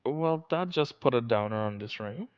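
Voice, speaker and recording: A man's voice: mid-range voice, animated, normal speed, clean tone, no echo, dull audio. A man chatting casually as he hosts a video game livestream.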